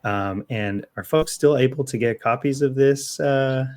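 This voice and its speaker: A man's voice: medium pitch, unhurried, a little mellow. A man co-hosting a local news podcast in casual chat, with a playful, digressive way of talking.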